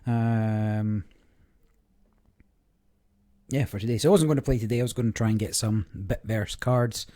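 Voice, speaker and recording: A man hosting a gaming channel, talking through a card game in a relaxed, rambling way, his voice a little croaky. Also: fairly low pitch, normal speed. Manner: relaxed, rambling, many fillers. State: slightly croaky voice. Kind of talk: casual gameplay commentary.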